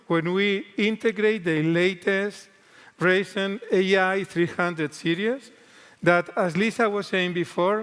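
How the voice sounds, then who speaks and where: A man's voice: high-pitched, fairly bright tone, clear, resonant tone. A middle-aged man with a strong Spanish accent, a native Spanish speaker, talking earnestly as a guest executive on a keynote stage, with some restarts. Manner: earnest, with restarts in second-language English. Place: large convention hall stage. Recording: echoey large hall.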